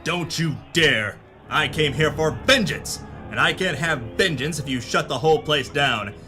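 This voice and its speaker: A man's voice: fairly high pitch, animated, measured pace, sharp tone, big-room sound, faint music behind. A man playing a hardboiled, melodramatic pulp detective who narrates his adventure in a noir parody audio drama. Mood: forceful, impatient.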